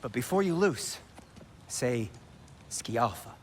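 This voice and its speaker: An actor playing a squeamish, sardonic dwarf craftsman in a video game, with a fastidious manner and hesitant asides. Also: mid-range voice, lively intonation, a little hiss or hum. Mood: fussy, absorbed. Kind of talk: dramatic video game acting.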